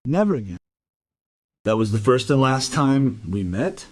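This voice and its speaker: A man's voice: mid-range voice, big pitch swings, measured pace. An actor playing a lonely, evasive man in his seventies, rambling politely under questioning in an audio crime drama. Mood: polite, uneasy. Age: 70s.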